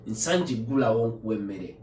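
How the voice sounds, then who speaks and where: Man putting on a low, chanting, hungry beast. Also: animated, measured pace, a little mellow, a little hiss or hum. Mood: luring. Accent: Ugandan. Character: hungry scheming male beast singing to lure a girl. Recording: echoey room, distant from the mic.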